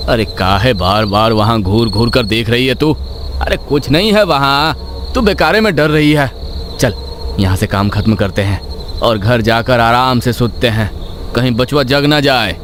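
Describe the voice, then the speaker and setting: A man voicing a brusque, reassuring village farmer husband with a rustic eastern Hindi-belt accent in an animated horror story. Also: medium pitch, unhurried. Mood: dismissive. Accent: Bihari or eastern Uttar Pradesh rural Hindi. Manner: colloquial rustic speech, brusque questioning. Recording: noisy night ambience with crickets.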